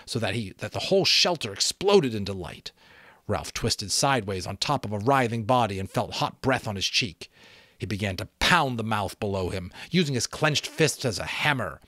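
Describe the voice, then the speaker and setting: A man with a fairly low voice narrates fiction as a dramatic reading. The recording is dry and close, with very little room in it. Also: a bit bright, dry recording. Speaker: audiobook narrator.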